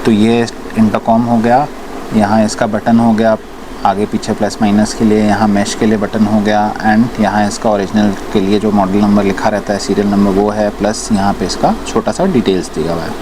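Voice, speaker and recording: A man's voice: on the low side, little pitch movement, normal speed. A man from India giving a casual, explanatory product demonstration, mixing English words into his talk. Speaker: shop presenter reviewing riding gear. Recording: clear background noise, vehicle sounds.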